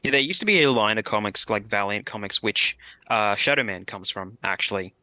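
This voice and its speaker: A man with a lowish but sharp, bright voice, recorded dry without room sound. He talks casually, as a podcast co-host does among friends. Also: a little fast, no room sound. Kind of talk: casual chat.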